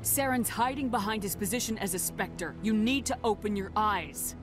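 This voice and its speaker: An actress playing a no-nonsense military commander in a video game, speaking in a firm, direct, controlled way. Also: female voice, mid-range voice, normal speed, music in the background, lightly acted. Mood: defiant. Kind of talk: dramatic video game dialogue.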